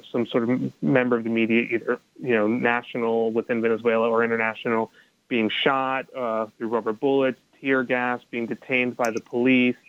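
Colombian American man, filmmaker in an interview, halting delivery, phone-quality sound with no room. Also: medium pitch, no echo. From United States. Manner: hesitant, many restarts and fillers. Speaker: documentary filmmaker. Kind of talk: interview answers. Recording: dry tinny phone-like line.

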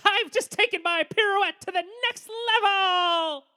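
A man's voice: very high voice, fairly even intonation, unhurried, music in the background. An actor playing an overmedicated, odd teenage boy, whiny and sing-song with a creepy whisper. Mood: whiny excited.

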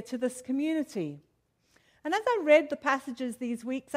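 South African woman preaching a sermon. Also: sits high.

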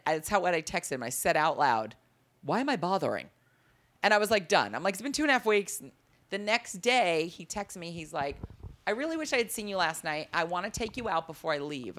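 A woman with a low, deep-timbred voice, telling stories in a casual interview and acting out the dialogue. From Las Vegas. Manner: animated, acts out quoted dialogue. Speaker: comedian guest.

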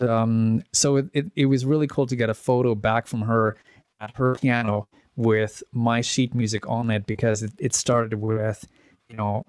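A man's voice: medium pitch, no room sound. Chatty man telling stories, dry sound. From Czech Republic. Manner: chatty, rambling with many restarts and fillers. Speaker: musician guest. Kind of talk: casual interview chat.

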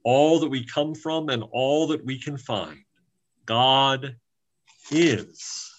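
A man voicing a wise, ancient female storybook turtle, speaking in solemn, measured, weighty phrases. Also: mid-range voice, muffled recording, natural voice.

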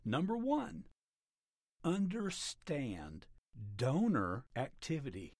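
A man narrating a scripted article aloud in a measured, instructional manner. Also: fairly high pitch, huge pitch swings, dry recording, studio quality.

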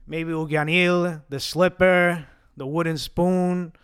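A man's voice: a little high, fairly even intonation, slow, fairly bright tone, clean tone, no echo, studio quality. A young man whose first language is Italian, hosting a casual fan Q&A, chatty and joking, with a slight stutter and restarts. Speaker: host answering fan questions. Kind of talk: casual Q&A chat.

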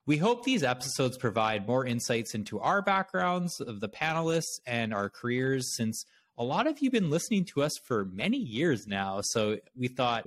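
A man's voice: medium pitch, fairly bright tone. A man from Canada with a Canadian accent, talking casually and conversationally as a podcast host.

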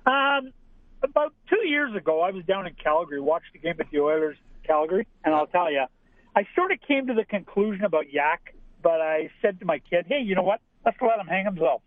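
Male caller, high-pitched, casual and anecdotal, thin dry telephone sound. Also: animated, a little fast, no room sound. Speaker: phone-in caller. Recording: thin narrowband phone line.

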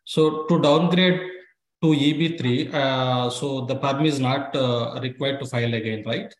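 An echoey call line carries a man's mid-range voice. He is a caller asking questions, with halting and tentative phrasing. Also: mid-range voice.

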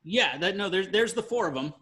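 A man's voice: high-pitched, animated, a little fast, bright, forceful tone. A man hosting a casual podcast, teasing his guest with crude banter. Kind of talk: casual chat.

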